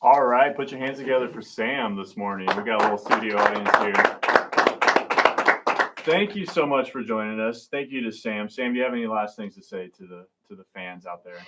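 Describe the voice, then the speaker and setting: A man's voice: fairly low pitch, brisk, very noisy. A man hosting a live show in a casual, informal presenting style. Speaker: host.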